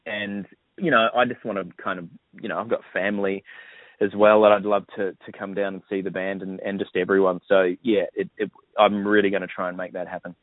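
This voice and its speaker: Halting low-voiced man on a phone line. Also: little pitch movement, no room sound. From New Zealand. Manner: halting, many restarts and "you know". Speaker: bandleader and guitarist. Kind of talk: interview over the phone. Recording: dry tinny phone line.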